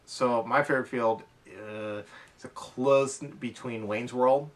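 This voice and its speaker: A man co-hosting a hobby podcast, chatting casually and haltingly about his gear with enthusiasm. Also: medium pitch, fairly even intonation. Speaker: podcast co-host. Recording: distant from the microphone.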